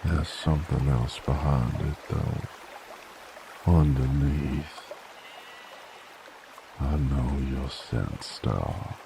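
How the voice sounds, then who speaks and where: A man playing a gruff, teasing werewolf bounty hunter in a Western audio roleplay, with a gravelly American Western drawl and a folksy, coarse manner. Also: very deep voice, extremely slow, long pauses, noisy background, full character voice. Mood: predatory, intrigued.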